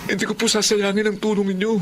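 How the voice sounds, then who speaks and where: High-voiced troubled young father. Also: completely flat delivery, fast, fairly bright tone, a little hiss or hum. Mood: humble, grateful. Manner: emotional, pleading. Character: young married father, defensive drug addict turned remorseful. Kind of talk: Filipino radio drama acting.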